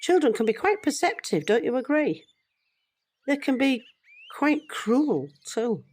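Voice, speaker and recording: An older woman playing a loving, regretful British grandmother in an audio drama, confiding her story in a reflective, measured way. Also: high voice, big pitch swings, a little mellow, heavily acted. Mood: fond, wistful.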